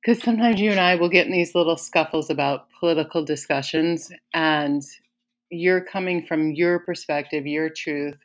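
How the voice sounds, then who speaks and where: A woman co-hosting a podcast in casual conversation, opinionated and often laughing as she talks. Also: moderate pace. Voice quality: deep voice for a woman. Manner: opinionated, laughs while talking. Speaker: podcast co-host.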